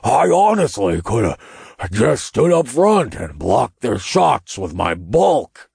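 A man's voice: medium pitch, sing-song, slow, vocal fry, darkish tone, husky, no room sound, clean studio sound, voice pushed up, exaggerated voice. A man playing a big, bulky, grumbling male demon in an audiobook, blunt in manner. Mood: sulky.

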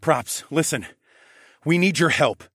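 A man's voice: fairly high pitch, expressive, measured pace, slightly rough, dry recording, studio quality, voice pushed up. A man voicing an impulsive, emotional young male hero in a fantasy audiobook, blurting urgently and informally.

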